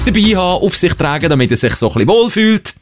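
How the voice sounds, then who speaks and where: A man with a Swiss German accent hosting a radio quiz, bantering playfully in a cheeky, halting, improvised way. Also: a little high, big pitch swings.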